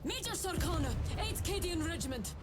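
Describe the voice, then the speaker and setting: A dutiful infantry major in a video game, her high voice snapping out clipped reports and crisp orders. She stays formal and deferential toward her superiors, with booming music under her. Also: expressive, a little fast. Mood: military. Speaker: army major. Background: loud game score music.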